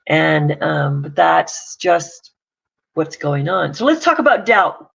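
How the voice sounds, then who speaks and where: A woman's voice: low voice, big pitch swings, takes it slowly, vocal fry, husky, lo-fi sound. A woman coach giving a casual, plain-spoken morning talk to camera. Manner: chatty, pragmatic, plain-spoken.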